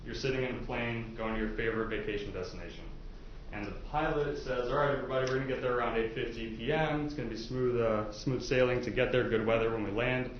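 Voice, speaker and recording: A man's voice: mid-range voice, fairly even intonation, fast talker. A man speaking as a prosecutor, questioning potential jurors in an informal, explanatory way with casual asides. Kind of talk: courtroom jury questioning, conversational. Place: indoor courtroom. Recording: very echoey courtroom, muffled, faint noise.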